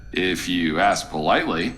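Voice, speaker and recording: An actor playing a playful, smug spaceship computer pilot in an audio drama, with polished announcer delivery and dry sarcasm. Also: male voice, low-pitched, fairly bright tone, a little hiss or hum, full character voice. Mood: dryly teasing.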